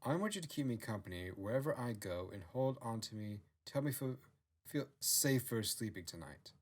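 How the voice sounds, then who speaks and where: A man's voice: medium pitch, lively intonation, normal speed, natural voice. A man voicing a proud, self-assured fox queen who commands and coaxes in a storybook narration. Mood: coaxing, proud.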